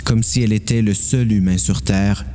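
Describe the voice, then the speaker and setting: A man's voice: lowish voice, fairly even intonation, normal speed, dark tone. A man narrating a scripted story for an amateur audio drama.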